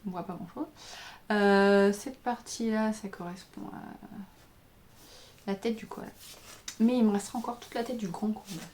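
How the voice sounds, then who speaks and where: A woman's voice: mid-range voice, moderate pace, pauses often, a little mellow, slight background noise. A tired woman in her thirties chatting casually for her crafting podcast, hesitant with many fillers while showing her work to the camera. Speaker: knitting pattern designer and podcaster. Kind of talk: casual video podcast chat.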